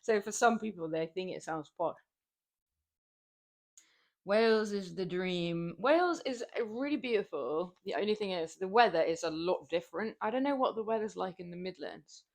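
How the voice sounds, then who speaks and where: A woman's voice: mid-range voice, animated, normal speed. A young woman with a southern English accent, chatting casually with her stream viewers while lifting weights, rambling and laughing often. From southern England. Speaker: fitness streamer.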